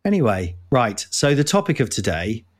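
A man's voice: medium pitch, big pitch swings, normal speed. A tired man from Nottingham with a Nottingham accent, hosting a casual comedy podcast chat and rambling in a self-mocking way. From Nottingham, England. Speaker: podcast host.

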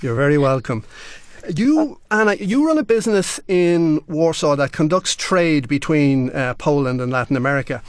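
A man's voice: fairly high pitch, animated, normal speed, smooth, dry recording. A man hosting an interview, asking questions politely and often repeating words as he starts a question. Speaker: podcast host.

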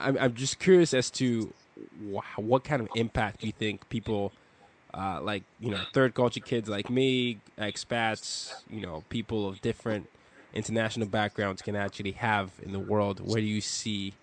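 A man's voice: lowish voice, steady tone, normal speed. A man with an American accent, interviewing in a casual, chatty, affirming way. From grew up across four continents, Nigerian.

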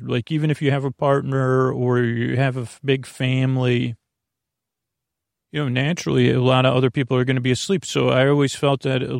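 A man hosting a bedtime podcast in a creaky, lulling voice, meandering and repeating himself with halting pauses. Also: mid-range voice, dry recording, studio quality. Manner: soothing, lulling. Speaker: podcast host. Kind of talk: rambling bedtime podcast monologue.

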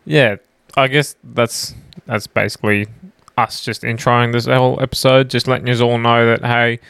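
A man's voice: mid-range voice, flat delivery, normal speed, gravelly, fairly bright tone, smooth, no echo. A young Australian man co-hosting a podcast in casual chat, with a laid-back, sweary, slangy way of talking. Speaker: podcast co-host. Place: smallish room in a home.

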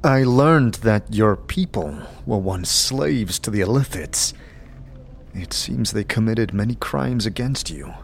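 A man voices an uncertain wanderer with no memory in a fantasy audio drama. He is earnest and halting, taking his time over his words, with faint noise behind. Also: fairly low pitch, animated, vocal fry, full character voice. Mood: earnest, hesitant. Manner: hesitant, earnest. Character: uncertain amnesiac male wanderer seeking understanding.

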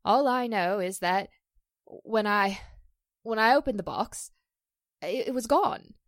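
A woman playing a distressed, pleading stage actress in a 1940s-style radio drama, halting and emotional. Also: mid-range voice, no room sound, clean studio sound, natural voice. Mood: anxious. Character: distressed stage actress accused of a publicity stunt, pleading, wounded.

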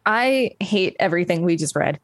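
A woman's voice: on the low side, lively intonation, moderate pace, dry recording. A woman co-hosting a podcast, reading an article aloud with casual asides. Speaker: podcast co-host.

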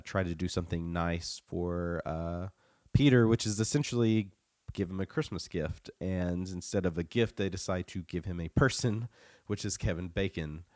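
A man's voice: low voice, a little mellow, clean tone, dry recording, clean studio sound. A man giving a casual podcast review while getting over the flu.

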